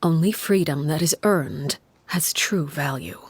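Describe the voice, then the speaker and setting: A woman voicing a stern, solemn leader in a video game, speaking in a grave, measured, hushed way. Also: low-pitched, slightly rough, no echo. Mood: calm, firm. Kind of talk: dramatic video game acting.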